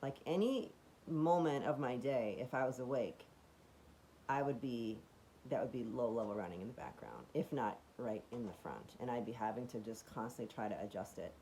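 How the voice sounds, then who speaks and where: A woman with a raspy voice that sits very low talks casually at home. She meanders through her thoughts with plenty of fillers and restarts, sounding eager and impressed. A faint hiss of noise sits under her voice. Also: moderate pace, dark tone, low-quality recording. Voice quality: raspy, deeper voice. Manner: chatty and meandering, many fillers and restarts, enthusiastic. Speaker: vlogger demonstrating a device. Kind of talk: casual home demonstration vlog.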